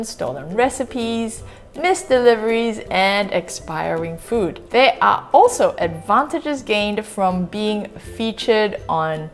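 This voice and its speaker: Clear, upbeat woman from Singapore. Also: medium pitch. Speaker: family board game channel host. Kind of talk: scripted review narration. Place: home living room, indoors.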